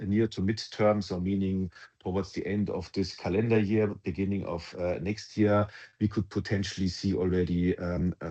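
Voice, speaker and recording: A man answering analyst questions on an earnings call, halting and full of ums and restarts. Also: low voice, warm tone. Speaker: company executive answering analysts.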